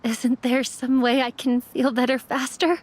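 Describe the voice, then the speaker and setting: Earnest, high-voiced young apprentice. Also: female voice, level tone, measured pace. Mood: grieving. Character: young immortal apprentice mortician, earnest, subdued. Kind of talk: anime game voice acting.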